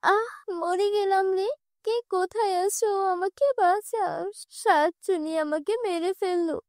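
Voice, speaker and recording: A woman's voice: very high-pitched, steady tone, unhurried, no room sound, clean studio sound, pitched above the performer's own voice. A woman voicing an anxious young daughter-in-law in a cartoon horror tale, earnest and pleading. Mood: panicked.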